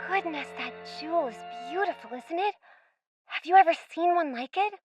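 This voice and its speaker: A woman's voice: very high voice, animated, normal speed, faint music behind. A woman playing a sensible, curious young girl adventurer in a radio play, with a proper, exclamatory manner. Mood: curious wonder. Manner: proper, exclamatory, old-fashioned politeness. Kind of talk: scripted radio play acting.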